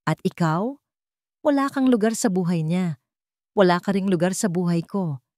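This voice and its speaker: A woman's voice: on the low side, normal speed, a little mellow, no echo, clean studio sound, close to an everyday voice. A woman voicing an angry wealthy businessman and protective father, accusing and commanding, in an audio romance drama. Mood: furious.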